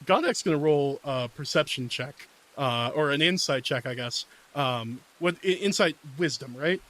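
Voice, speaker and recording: A man's voice: on the high side, moderate pace, sharp tone, rough recording. A man casually improvising narration as a player in a tabletop role-playing game. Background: faint music.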